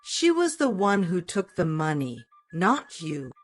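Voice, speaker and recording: A woman plays a guilty teenage schoolboy in a narrated story. He is apologetic and pleading, speaking slowly in a slightly low register. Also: big pitch swings, faint music behind, full character voice. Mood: remorseful.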